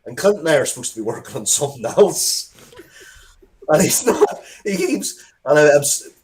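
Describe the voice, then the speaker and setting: A man's voice: on the high side, very animated, normal speed, background noise. A man chatting casually as an interview guest, rambling and often breaking off to restart his sentences. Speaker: interview guest, comics and game writer.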